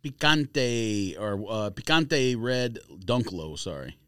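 Skeptical American man, lively mid-pitched voice. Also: slow, clear, resonant tone, no room sound, clean studio sound. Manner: skeptical, challenges what others say. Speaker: podcast co-host. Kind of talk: casual sneaker banter, reads out release list.

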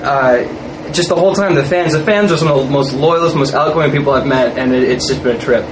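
A man's voice: mid-range voice, fast talker, noisy background. A man being interviewed, telling jokey stories in a casual, theatrical way. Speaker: film cast member being interviewed. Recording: echoey room, loud crowd chatter.

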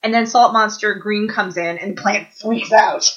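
A woman's voice: medium pitch, steady tone, normal speed, fairly bright tone, dull audio. A woman co-hosting a podcast, recapping an episode in casual, enthusiastic chat and reading from her notes. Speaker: podcast co-host.

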